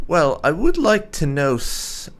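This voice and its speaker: A man hosting a roleplay let's play, commenting in a halting, thoughtful way and trailing off as he thinks. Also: a little high, fairly bright tone. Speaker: gaming channel host.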